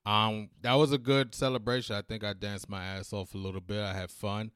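A man's voice: on the low side, a little fast, smooth, no room sound, studio quality. Man losing his voice, casual co-host. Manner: relaxed, loose talk with fillers and slang. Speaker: podcast co-host. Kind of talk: casual chat.

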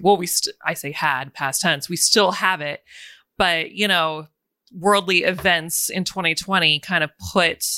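Bright-voiced woman with a calming tone. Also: lowish voice, dry recording. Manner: chatty, laughs between thoughts. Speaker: business coach and podcaster, interview guest. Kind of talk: casual interview chat.

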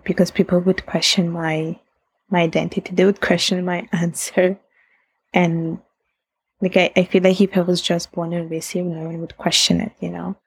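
A woman's voice: fairly low pitch, vocal fry, husky, dry recording, poor audio. A young woman with an Italian accent, reflective in a casual interview, laughing often and restarting sentences. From Italy. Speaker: guest.

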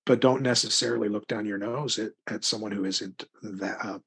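A man's voice: lowish voice, steady tone, normal speed, fairly bright tone, husky. A middle-aged American man talking casually as an interview guest, thoughtful and rambling, often hesitating as he works out his answer. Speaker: interview guest, crime fiction author.

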